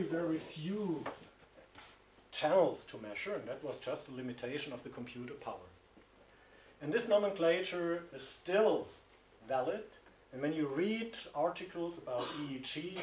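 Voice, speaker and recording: A man's voice: fairly high pitch, darkish tone, a little hiss or hum. A middle-aged man with a German accent giving a university lecture, explaining slides and pointing as he talks. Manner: explanatory, pointing at slides, gestures while speaking. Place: seminar room with projector. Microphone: distant room mic. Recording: echoey room, speaker far from mic.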